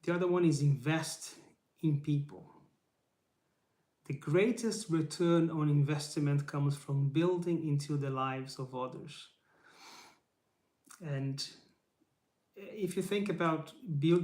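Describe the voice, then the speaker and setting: A man's voice: fairly high pitch, fairly even intonation, a little slow, darkish tone. Earnest Portuguese-native man in an echoey room. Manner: earnest, encouraging, rhetorical questions, frequent "right?". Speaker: YouTube channel host. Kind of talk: casual live motivational talk to camera. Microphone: camera mic.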